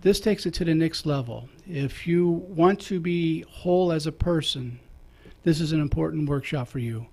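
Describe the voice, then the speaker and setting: A man's voice: fairly high pitch, moderate pace, darkish tone. A man, a priest, hosting a radio talk show in a casual, jokey way, chuckling between remarks.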